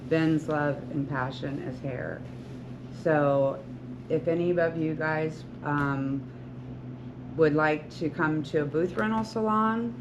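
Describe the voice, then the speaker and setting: Encouraging woman in her sixties, noisy room. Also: low-pitched. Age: 60s. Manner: earnest, encouraging, rambling with restarts. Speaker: hairstylist and salon owner. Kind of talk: talk to beauty school students. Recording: lo-fi with loud background noise.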